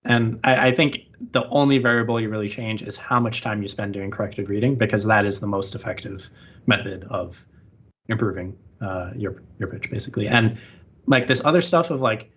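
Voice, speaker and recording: A man's voice: fairly low pitch, fairly quick, a bit bright, husky. A man being interviewed on a podcast, chatting casually and rambling with lots of "like" fillers. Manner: rambling, heavy use of "like" fillers. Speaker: interview guest. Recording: muffled lo-fi audio.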